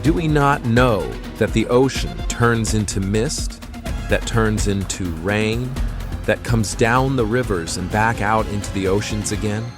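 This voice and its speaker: A man playing a calm mystic sage in an audio drama, speaking in measured, cryptic phrases. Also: lowish voice, close to an everyday voice. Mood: calm, foreboding. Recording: music behind the voice. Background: music.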